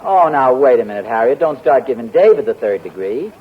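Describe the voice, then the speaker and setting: A man's voice: mid-range voice, sing-song, fairly quick. A man acting a teasing, easygoing husband in a 1940s radio sitcom. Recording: dull old radio broadcast with studio audience laughter and hiss.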